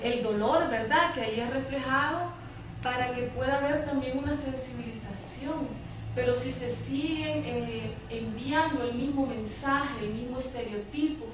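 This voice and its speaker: A woman, commenting in a public discussion and often checking for agreement with tag questions. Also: high-pitched. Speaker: panel participant in a public debate. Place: indoor public debate, roomy, picked up from afar. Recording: echoey room, distant mic, faint noise.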